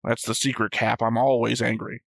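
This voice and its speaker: Man chatting casually in an even, dry-sounding tone. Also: mid-range voice, moderate pace, creaky, no echo. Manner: rambling, explanatory, swears casually. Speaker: reaction show host. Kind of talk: casual chat, discussing an anime episode.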